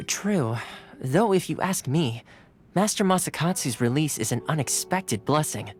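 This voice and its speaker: A man's voice: a little high, expressive, a little slow. A man playing an easygoing, polite young retainer in an anime-style video game. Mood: thoughtful. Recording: faint music behind the voice.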